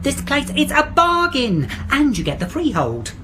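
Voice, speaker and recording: An actor playing a smooth, ingratiating male estate agent in a radio drama, with showy sales patter. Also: extremely high pitch, wildly swooping pitch, measured pace, noisy background, heavily acted. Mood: salesy.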